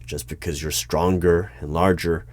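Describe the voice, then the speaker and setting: Deep-voiced, slow, unflappable secret-bureau interviewer played by a man, formal and deadpan, for an ASMR roleplay. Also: no room sound. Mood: stern. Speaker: interviewer in a one-sided roleplay. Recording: clear noise with keyboard typing. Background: computer keyboard typing and clicks.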